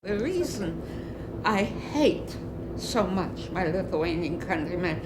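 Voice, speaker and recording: An older woman giving interview testimony in a bitter, forceful manner. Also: on the low side, animated, slow pace, noisy background. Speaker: Holocaust survivor interviewee.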